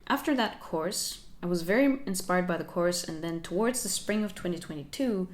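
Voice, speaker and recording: A young woman talks through her learning journey for her channel. She is soft-spoken and reflective, with a slightly low voice, and she laughs lightly as she goes. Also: lively intonation, normal speed. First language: Finnish. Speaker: channel host. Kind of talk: casual talking-head vlog.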